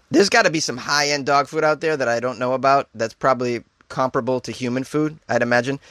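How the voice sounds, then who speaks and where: A man with an ordinary, mid-pitched voice reads offbeat news items and breaks off into jokey, disbelieving asides. He keeps laughing at his own jokes. The sound is dry and close, with no room echo. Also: a bit bright. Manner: mock-incredulous asides. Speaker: host. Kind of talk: comedic news reading and riffing.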